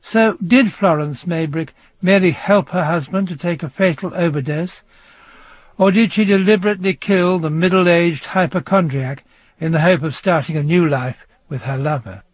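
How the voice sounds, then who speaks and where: A man presenting a scripted true-crime radio programme, narrating in a measured storytelling manner. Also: fairly high pitch, no room sound.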